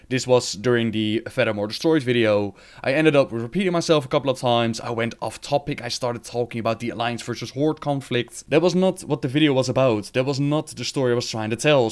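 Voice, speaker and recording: A man from the Netherlands with a Dutch accent, speaking English as a second language, giving an earnest, chatty scripted voiceover about how he makes his videos from his home desk. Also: mid-range voice. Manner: earnest, chatty, self-deprecating asides. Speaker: lore video creator.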